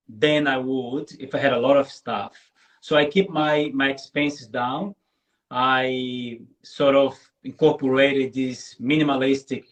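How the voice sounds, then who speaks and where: A man whose first language is Portuguese, a guest in a live online interview, talking earnestly and often checking in with "right?". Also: mid-range voice. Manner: earnest, repeats words, tags lines with "right?". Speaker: guest content creator.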